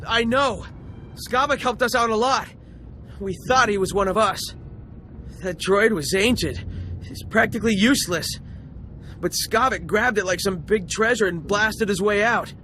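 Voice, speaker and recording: High-voiced, cowardly rebel soldier. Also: male voice, normal speed, bright, forceful tone, a little hiss or hum. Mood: nervous, bargaining. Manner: pleading, anxious. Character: cowardly, pleading male rebel soldier, desperate to survive. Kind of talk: video game voice acting.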